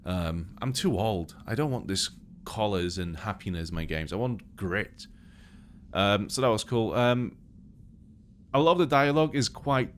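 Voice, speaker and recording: A man's voice: on the low side, a little hiss or hum. A man from Poland with a British accent, born Polish-speaking, chatting casually as he plays a game on a live stream and thinks aloud. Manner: relaxed, thinking aloud while playing.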